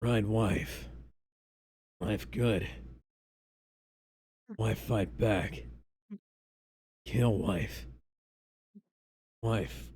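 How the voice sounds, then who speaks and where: Male performer as comic caveman-like brute, gruff and flat, halting delivery, low register. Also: no room sound, heavily acted. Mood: dull, grim. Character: gruff brain-dead brute, a man of few words.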